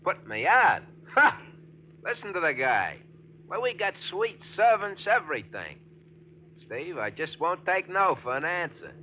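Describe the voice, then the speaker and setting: Brash, punchy gangster boss. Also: male voice, high-pitched, big pitch swings, measured pace, sharp tone, exaggerated voice. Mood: hearty, boastful. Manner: brash, commanding, glib. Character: slick, bossy gangster nightclub owner, falsely jovial. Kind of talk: 1940s radio drama acting. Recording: dull, noisy vintage radio recording.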